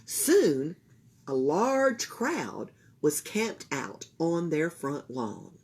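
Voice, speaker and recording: A woman reading a children's story aloud, expressive and emphatic, with deliberate phrasing. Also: low voice, slight background noise. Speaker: library storytime reader. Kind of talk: animated children's read-aloud.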